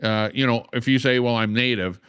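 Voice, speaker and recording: A man's voice: mid-range voice, steady tone, a little fast, fairly bright tone, clear, resonant tone, no echo, clean studio sound. An older man hosting a livestream, explaining at length with frequent "uh"s and a repeated "okay?". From Canada. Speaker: livestream host. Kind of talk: casual livestream monologue.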